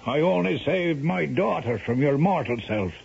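A man's voice: on the high side, animated, moderate pace, creaky, darkish tone, slightly rough, exaggerated voice. An actor playing a desperate, blustering father and ruined squire in a 1970s radio drama. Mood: defiant. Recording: muffled old radio broadcast with music.

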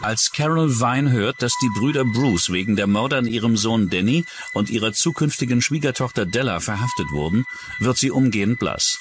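Man narrating true crime, lively intonation. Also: mid-range voice, normal speed, over music. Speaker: documentary narrator.

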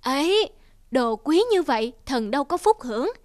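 Brief: fawning, sneering cartoon courtier voiced by a woman, bright high tone. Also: normal speed, dry recording, studio quality. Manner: flattering, smooth-tongued, sneering to rivals. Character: fawning scheming middle-aged male court official.

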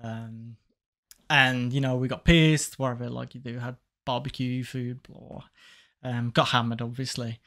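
A man's voice: medium pitch, little pitch movement, unhurried, a bit bright. A young man, co-hosting a casual podcast in a small home studio and telling crude stories in a laid-back way. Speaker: podcast co-host. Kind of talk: casual chat. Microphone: studio mic on boom arm, headphones.

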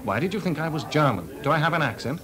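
An actor playing a witty young composer in a 1980s radio drama, talking dryly and ironically. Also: male voice, a little high, expressive, quick pace, natural voice. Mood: wry. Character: composer Delius, young man, wry and witty. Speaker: lead actor. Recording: party crowd behind.

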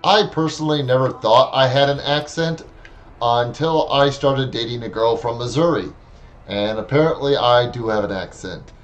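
A man's voice: medium pitch, moderate pace, highs cut off. A man in his thirties from Wisconsin, with a Wisconsin accent, chatting casually and wryly while streaming a game from his room on a headset mic. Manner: chatty, wry, talks back to the game. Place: small room at home.

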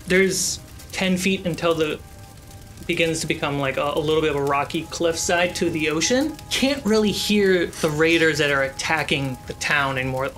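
A woman's voice: deep voice, moderate pace, fairly bright tone, soft background music. A woman narrating a tabletop roleplaying game as game master, with dramatic, vivid storytelling. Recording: noisy, with ambience behind.

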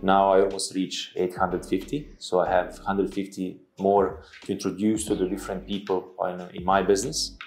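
A man with a Serbian accent giving halting, hesitant interview answers as a company boss, often repeating words. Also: sits low, flat delivery, darkish tone, rough recording. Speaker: company CEO, interview guest. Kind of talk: interview answers about his business. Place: indoor studio at a desk. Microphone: clip-on lapel mic. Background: background music.

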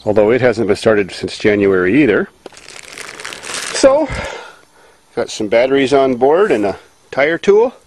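A man's voice: mid-range voice, big pitch swings, unhurried, long pauses, darkish tone. A man, giving casual running commentary in breezy, slangy short remarks on a handheld camera. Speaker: amateur mechanic filming his truck. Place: outdoors and inside a truck cab. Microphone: handheld camera at arm's length. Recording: noisy handheld camcorder, vehicle and outdoor noise.

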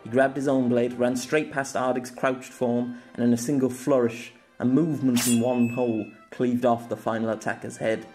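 A man reads his own story aloud as an audiobook, with a steady, measured delivery. His voice sits in a middle range, and faint music plays beneath the narration. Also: mid-range voice. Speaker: author and narrator.

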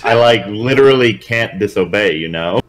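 A man with a fairly low voice takes part in a tabletop game. He talks in an informal, conversational way. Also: animated, normal speed, poor audio. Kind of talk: casual chat.

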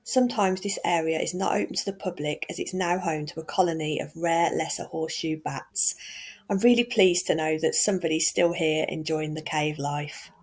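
A woman from England talks through a walking tour of old homes. Her voice sits a little low and has a husky, slightly breathy edge. She narrates in a chatty, enthusiastic way, as if showing a friend around. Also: moderate pace, a little mellow. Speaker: vlogger and tour guide.

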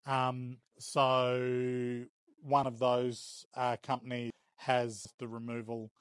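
A man's voice: mid-range voice, completely flat delivery, clear, resonant tone, dry recording. Middle-aged Australian man, close dry sound. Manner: hesitant, measured, matter-of-fact. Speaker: auction house operator. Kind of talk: casual explanatory interview talk. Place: indoors, warehouse auction rooms and workshop.

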